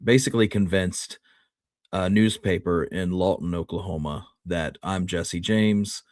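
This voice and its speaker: Male co-host, deep register, measured casual storyteller who stops and restarts, dry recording. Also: darkish tone, dry recording. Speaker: history show co-host.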